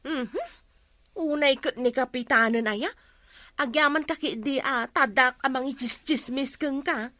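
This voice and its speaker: A woman's voice: high-pitched, unhurried, bright, forceful tone, dry recording, clean studio sound. A woman playing an eager, gossipy village woman in a comedy radio drama, chatty and exclamatory. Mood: flattering.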